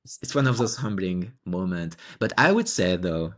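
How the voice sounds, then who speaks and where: A man from France with a strong French accent, co-hosting a podcast and telling stories in a relaxed, chatty way with lots of restarts. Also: low voice, normal speed. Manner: animated storytelling with frequent restarts and laughs. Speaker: podcast co-host. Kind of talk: casual podcast chat.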